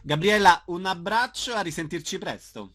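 A man's voice: high voice, moderate pace, fairly bright tone. A man speaking as a radio host, interviewing guests and announcing event details. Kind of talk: radio interview, asking questions and announcing theatre dates.

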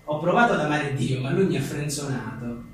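A man's voice: a little high, lively intonation, brisk. A man, an author reading his comic aphorisms aloud with wry, self-deprecating, chatty asides. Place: echoing venue at a book presentation. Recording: very echoey room, distant mic, faint noise.

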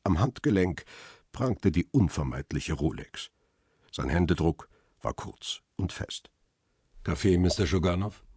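An actor playing a brooding middle-aged paparazzo out for revenge, narrating a radio crime drama in a dry, matter-of-fact way. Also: male voice, sits low, expressive, measured pace, darkish tone, slightly rough, no room sound, full character voice. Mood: reflective, dry.

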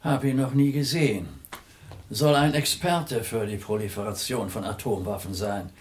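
A man's voice: on the low side, normal speed, darkish tone, natural voice. A man playing a jovial, decisive film boss in a radio drama, curt and commanding with a wry touch. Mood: genial, wry. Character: brisk, jovial, decisive Hollywood film mogul. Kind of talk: 1980s radio drama acting.

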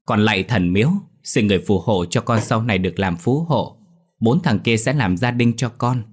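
A man voicing a cheeky, scheming village boy who brags loudly. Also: lowish voice, lively intonation, normal speed, slightly rough. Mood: bold bragging.

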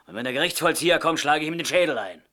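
A man's voice: a little high, lively intonation, rapid-fire, bright, forceful tone. An actor playing an earnest, sceptical young Dakota man, a shoemaker, arguing his case in a German radio play. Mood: argumentative. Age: young adult. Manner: earnest, argumentative.

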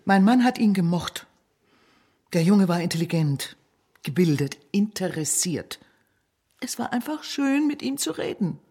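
An actress playing a proud professor's wife in a radio drama, husky-voiced, haughty and evasive under questioning. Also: female voice, mid-range voice, expressive, a little slow, pauses often, dark tone. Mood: wary, wistful.

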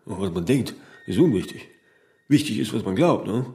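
Famous old workaholic guy, dark mellow voice, stubborn, vain, philosophises, keeps adding little tag questions, radio drama. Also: on the low side, expressive, normal speed, gravelly. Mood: weary, defiant. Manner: rambling pronouncements, frequent tag "ne?".

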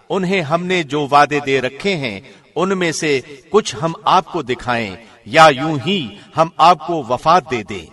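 A man reading a scripture translation as formal narration, solemn and measured, with careful enunciation. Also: fairly high pitch, pushed and bright. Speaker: translation narrator, radio host.

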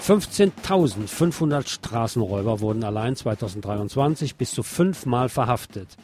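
A man's voice: medium pitch, animated, moderate pace, a little mellow, soft background music. A man hosting a radio programme, reading scripted local news and adding his own commentary.

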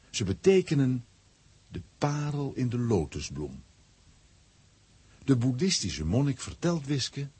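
A man's voice: fairly low pitch, darkish tone, breathy edge, full character voice. A man narrating a scripted children's audio drama as its storyteller. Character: storyteller relating a comic adventure.

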